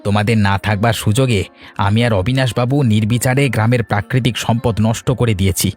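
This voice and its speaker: A man's voice: fairly low pitch, fairly even intonation, quick pace, soft background music, lightly acted. An actor playing a landlord's loyal, repentant henchman in a moral-tale cartoon, explaining things earnestly. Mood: pleading.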